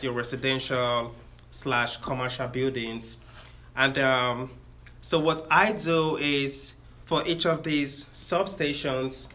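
Nigerian man, bright, explaining plainly. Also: medium pitch. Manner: friendly, explains step by step with self-corrections. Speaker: engineer giving a career talk. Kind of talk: informal explanatory talk to students.

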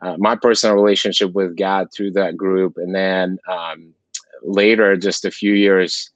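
Dull-sounding low-voiced man from Kazakhstan. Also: flat delivery, unhurried, no room sound. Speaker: interview guest, sports ministry leader. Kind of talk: conversational interview, personal story. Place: remote call. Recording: dull lo-fi call audio.

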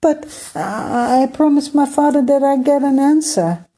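A woman's voice: medium pitch, takes it slowly, warm tone, slightly rough, pitched above the performer's own voice. A woman voicing an eager, earnest young boy prince who pleads and stammers in a storybook reading. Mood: eager, pleading. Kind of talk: storybook read-aloud.

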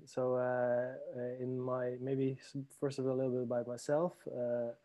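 A man from France, a guest on a podcast interview, speaking thoughtfully with many pauses and restarts. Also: medium pitch, monotone, mellow, no room sound. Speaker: guest, leadership trainer.

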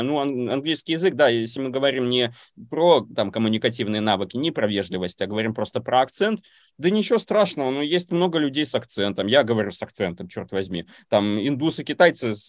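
A man's voice: mid-range voice, no echo, studio quality. A man whose first language is Russian, chatting casually and hesitating often with filler sounds. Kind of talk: casual conversation.

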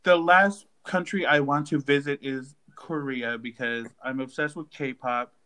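A man chatting casually with friends, animated and joking, with lots of "like" fillers. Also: medium pitch, measured pace. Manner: animated, joking, uses "like" often. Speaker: group chat member. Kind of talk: casual group chat.

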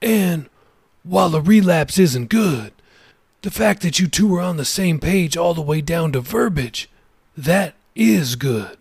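A male narrator reads an older pastor with a raised, gravelly, husky voice. He counsels a couple in a gentle, measured way, like a kindly elder in a storybook reading, recorded dry and close. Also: a little high, expressive, no room sound, pitched above the performer's own voice, heavily acted. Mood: counseling.

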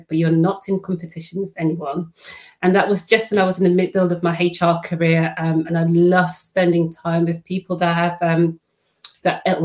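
A middle-aged woman from the Netherlands, Dutch by first language, answering interview questions in a friendly, halting way with many fillers. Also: on the low side. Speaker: podcast guest.

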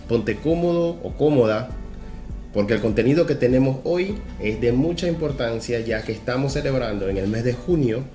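Guy hosting a show, talking to the audience, echoey room w some soft music behind. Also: medium pitch. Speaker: host. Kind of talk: presenting a programme to camera or listeners. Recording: roomy echo, music underneath.

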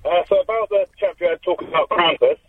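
A man's voice: high voice, quick pace, no room sound. A man calls in to a radio show and explains in a hesitant way, with many fillers. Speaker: caller. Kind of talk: phone-in call. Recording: husky distant phone line.

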